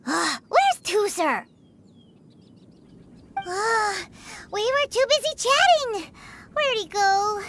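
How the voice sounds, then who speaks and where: A woman's voice: extremely high pitch, very animated, slow, long pauses, background noise, full character voice. A woman voicing a tiny, childlike, chirpy fairy companion in a video game. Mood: puzzled. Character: tiny squeaky excitable female fairy companion. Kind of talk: scripted video game dialogue.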